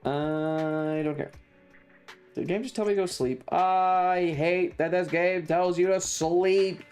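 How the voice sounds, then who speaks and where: A woman livestreamer chatting casually over a video game in a blunt, sweary, deadpan manner, with game music behind her. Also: on the low side, slow pace, clear, resonant tone. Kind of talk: casual game streaming chat.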